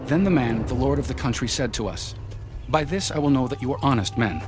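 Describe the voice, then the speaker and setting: A man plays an earnest son in a biblical audio drama. He recounts things in a measured, medium-pitched male voice. Music is clearly heard behind him. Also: lively intonation, natural voice. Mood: earnest, troubled. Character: earnest Hebrew son reporting to his father. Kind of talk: dramatized audio Bible acting. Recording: loud music bed.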